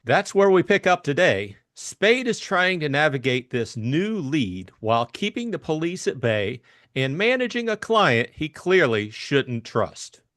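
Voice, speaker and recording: A man's voice: on the high side, big pitch swings, unhurried, a bit bright, dry recording, studio quality. A man giving a scripted introduction and narration as host of an audiobook reading.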